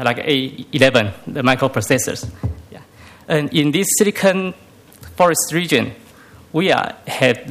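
Man with Taiwanese accent, halting public speech. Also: mid-range voice, a bit bright. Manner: halting, non-fluent English phrasing. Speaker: community member giving testimony. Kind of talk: prepared public remarks. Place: city council meeting room.

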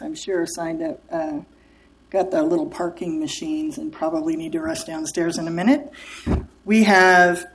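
A woman facilitating a public meeting, introducing the next speakers with brisk, brief announcements. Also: fairly low pitch, rough recording.

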